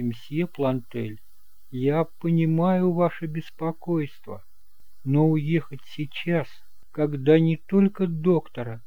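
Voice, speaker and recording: A man playing an earnest, principled young man in an audio drama, speaking gently but resolutely. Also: fairly high pitch, dry recording, narrow, tinny audio, voice pushed up. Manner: earnest, emphatic.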